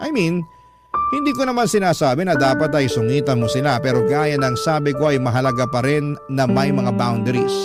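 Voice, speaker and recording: A man with a Filipino accent narrating a first-person story for radio drama in an earnest, reflective way. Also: fairly high pitch. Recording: prominent background music bed. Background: loud instrumental music.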